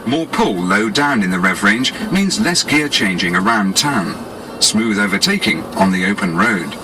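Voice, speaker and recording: A man's voice: on the low side, animated, normal speed. A British man reading scripted 1980s promotional narration in a polished, explanatory salesman's manner, on a cassette tape. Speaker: advertising narrator. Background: music.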